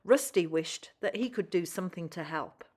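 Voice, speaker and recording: A woman's voice: on the low side, expressive, normal speed, darkish tone. A woman storyteller reading a children's story expressively and talking to young listeners.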